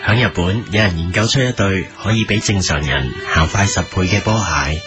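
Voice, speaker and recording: A man's voice: low voice, moderate pace, over music, close to an everyday voice. A man playing a reporter, reading a scripted news feature in a parody programme with a Hong Kong Cantonese accent. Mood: informative.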